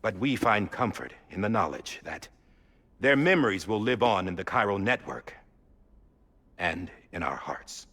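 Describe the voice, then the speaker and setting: Husky, slow-spoken solemn statesman. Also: lowish voice, animated, fairly bright tone, heavily acted. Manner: measured, solemn, rhetorical. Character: solemn statesman delivering a stirring memorial address. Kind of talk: formal speech in a video game cutscene.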